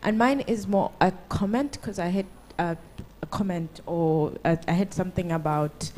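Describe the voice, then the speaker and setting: Low voiced woman chiming in from the audience, earnest, faint background noise. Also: normal speed, darkish tone. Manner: earnest, encouraging. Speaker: audience member making a comment. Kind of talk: comment from the floor.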